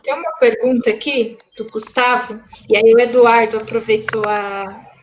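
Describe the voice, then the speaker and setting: Chatty host with a high voice, reading viewer questions aloud, on a noisy muffled stream. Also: female voice, fairly even intonation, normal speed. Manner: conversational, tag questions like né and tá. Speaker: host, English teacher. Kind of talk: hosting a live interview, reading viewer questions. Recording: dull lo-fi stream audio with noise.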